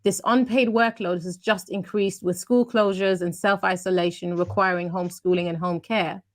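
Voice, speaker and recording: A woman's voice: on the low side, fairly even intonation, moderate pace. A woman hosting a video call panel and, as an author, reading a prepared passage fluently and evenly. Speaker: host and author.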